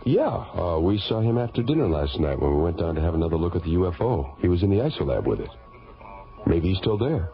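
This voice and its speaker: An actor playing an easygoing, joking young pilot in an old radio drama, talking casually. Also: male voice, low-pitched, very animated, fast talker, a crowd in the background. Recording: muffled old radio recording with noise.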